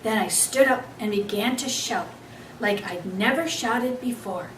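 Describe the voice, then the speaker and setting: A woman reading a children's book aloud as an expressive storyteller. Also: fairly high pitch, measured pace, recorded from a distance. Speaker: story reader. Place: echoey room, away from the mic. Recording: roomy, off-mic, faint background noise.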